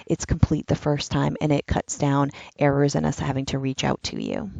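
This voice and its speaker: Gravelly-voiced woman walking through software. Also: low-pitched, dry recording, dull audio. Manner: step-by-step instructional, plain and patient. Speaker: enrollment trainer. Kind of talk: screen-recorded software walkthrough.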